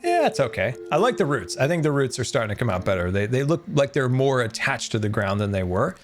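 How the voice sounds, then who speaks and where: A man chatting casually on a game live stream, excitable and talkative, thanking his viewers as he plays. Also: mid-range voice, fast, soft background music.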